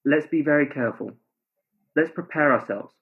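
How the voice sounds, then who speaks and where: An actor playing a tender, ardent, pleading lover in a radio play, earnest and romantic in delivery. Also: male voice, fairly high pitch, normal speed, close to an everyday voice. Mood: tender, sorrowful. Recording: thin phone-like sound.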